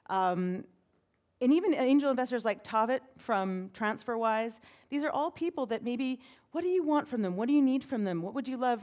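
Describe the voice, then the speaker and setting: A woman with a big voice giving a conference talk on stage as a PR firm president, chatty and energetic, often tagging "right?" onto her points. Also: mid-range voice. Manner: energetic, chatty, uses many "right?" tags.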